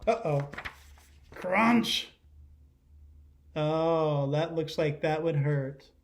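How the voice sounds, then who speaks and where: A middle-aged man, a teacher, reading a children's picture book aloud expressively, pausing to put questions to his listeners. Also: fairly high pitch, slight background noise. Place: living room at home.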